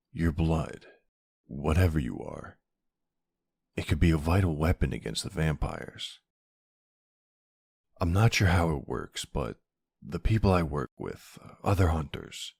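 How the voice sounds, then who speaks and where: Very deep, gravelly brooding vampire played by a man. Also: dry recording, clean studio sound, exaggerated voice. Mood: earnest, resolute. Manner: brooding, deliberate, halting. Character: brooding centuries-old male vampire who hunts vampires. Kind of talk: ASMR audio roleplay, scripted dramatic monologue.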